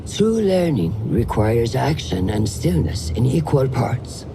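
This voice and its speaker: An actress playing a stern, wise mentor who trains an assassin, speaking in calm, measured instruction. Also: female voice, extremely low pitch, noisy background, exaggerated voice. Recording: loud music behind.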